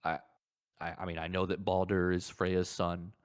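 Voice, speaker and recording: Man, low register, casual gaming chat, blunt, sweary, podcast co-host. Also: little pitch movement, normal speed, clear, resonant tone, dry recording, clean studio sound.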